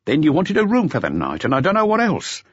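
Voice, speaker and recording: Tough café owner, used to fights, calm and controlled, put on by a male reader. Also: on the high side, fast talker, breathy edge, no echo, muffled recording, voice pushed up, exaggerated voice. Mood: firm, curt.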